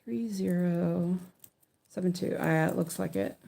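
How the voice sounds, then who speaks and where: A woman's voice: on the low side, unhurried, creaky, dark tone. A woman living in Sweden, chatting casually in a rambling way with many restarts while she works on a stitching project. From English-speaking country, living in Sweden. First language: English. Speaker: stitching channel host.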